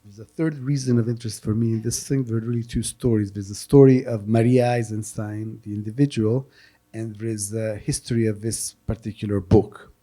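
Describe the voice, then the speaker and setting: A man hosting and interpreting at an event, speaking off the cuff in a halting, hesitant way. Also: lowish voice, fairly even intonation, warm tone. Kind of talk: unscripted event talk.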